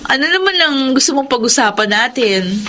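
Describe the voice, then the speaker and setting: A Filipino woman playing a sensible young college friend in a radio drama, chatty and gently scolding. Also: on the high side, lively intonation, a little fast, slight background noise. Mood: advising. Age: young adult.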